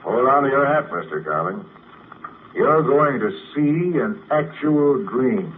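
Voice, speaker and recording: An actor playing a confident sanitarium psychiatrist who explains things in a measured, self-assured way, in 1950s radio drama style. It is heard on a tinny 1950 radio broadcast with faint hiss. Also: male voice, medium pitch, expressive. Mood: calm explaining. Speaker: doctor.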